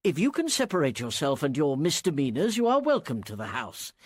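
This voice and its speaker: An actor playing a pompous, puritanical household steward in a Shakespearean radio comedy, haughty and reproving. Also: male voice, on the high side, wildly swooping pitch, brisk, breathy edge, no room sound, studio quality, heavily acted. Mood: stern, disapproving.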